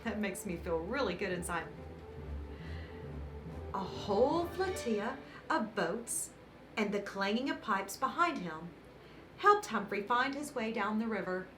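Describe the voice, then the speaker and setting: A woman reading a picture book aloud to children as host, with dramatic emphasis and warm, encouraging asides. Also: mid-range voice, sing-song, measured pace, long pauses, noisy background. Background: background music.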